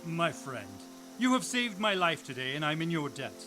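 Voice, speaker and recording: A noble, defiant seafaring hero played by a man, fairly high and very animated. He speaks in grand, formal radio-drama style over loud music. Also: normal speed. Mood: noble, grateful. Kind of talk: radio drama acting and storytelling.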